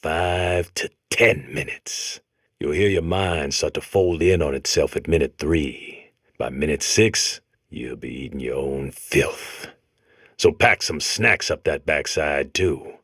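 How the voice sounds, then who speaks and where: A man's voice: extremely low pitch, slow pace, fairly bright tone, husky, no echo, clean studio sound, heavily acted. An American man voicing a comic game robot that talks like a grizzled old convict, lecturing in folksy, tough prison slang. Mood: tough, mocking. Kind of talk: comic game character monologue.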